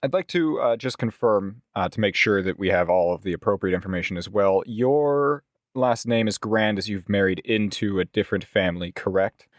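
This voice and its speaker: A man playing a polite, officious legal agent in a tabletop game, speaking formally in measured, wordy sentences. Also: lowish voice, dry recording, natural voice. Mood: courteous, probing.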